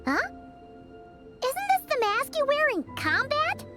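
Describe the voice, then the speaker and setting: A woman voicing a tiny childlike game companion, cheerful and cheeky, with a squeaky voice and perky delivery over music. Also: very animated, measured pace, exaggerated voice. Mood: curious, cheerful. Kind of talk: video game voice acting. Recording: loud music behind the voice.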